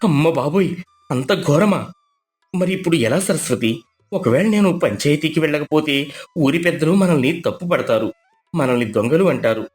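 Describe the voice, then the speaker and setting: A man's voice: on the high side, expressive, normal speed, faint music behind, close to an everyday voice. A man playing a poor, naive village husband, fretful and plaintive, in a dramatized moral story. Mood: despairing, worried.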